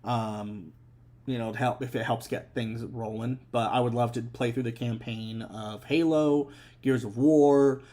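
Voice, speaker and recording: A man's voice: mid-range voice, steady tone, unhurried, mellow. Rambling young man hosting a stream. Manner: rambling, many fillers and restarts. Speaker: streamer and host. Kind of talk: casual live-stream chat.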